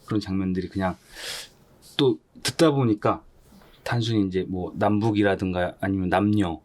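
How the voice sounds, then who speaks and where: Low-pitched, contemplative man in discussion. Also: moderate pace, darkish tone. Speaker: podcast panelist. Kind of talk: casual podcast discussion.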